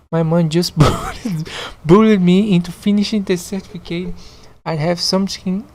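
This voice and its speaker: Slow-talking host. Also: medium pitch, a little mellow. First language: Portuguese. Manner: chatty asides with frequent chuckles and mild swearing. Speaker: podcast host. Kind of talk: casual reading of online stories.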